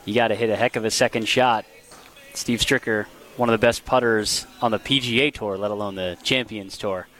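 A man co-hosting a live sports radio show at a golf tournament, telling stories in a relaxed, chuckling way. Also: on the low side, brisk.

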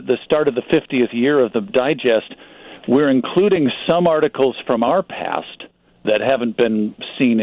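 A man's voice: mid-range voice, no room sound, narrow, tinny audio. A middle-aged American man, a writer and publisher, sharing recollections as an enthusiastic, reflective guest in a radio interview. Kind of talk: anecdotal radio interview talk.

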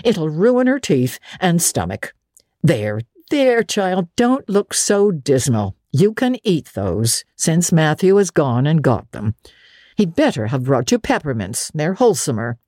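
A woman voicing a strict, sharp-tongued older woman who scolds briskly. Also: low-pitched, big pitch swings, no room sound, studio quality, full character voice. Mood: stern.

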